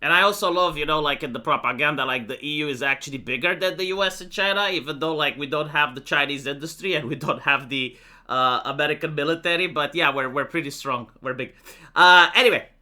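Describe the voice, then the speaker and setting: A young man with a Romanian accent talks through his political views in a loose, opinionated monologue. His voice is very bright and sits a little high, and he leans on plenty of "uh"s and "like"s as he goes. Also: quick pace. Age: young adult. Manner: opinionated, matter-of-fact, many fillers. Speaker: political commentator.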